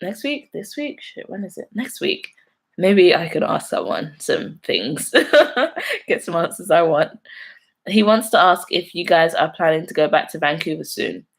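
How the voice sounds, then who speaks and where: A woman's voice: lowish voice, expressive, unhurried, vocal fry, breathy edge. A young woman from London with a London accent, casually chatting on a live stream, laughing often as she reads out and answers viewer comments. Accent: London English. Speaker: YouTuber hosting a live stream.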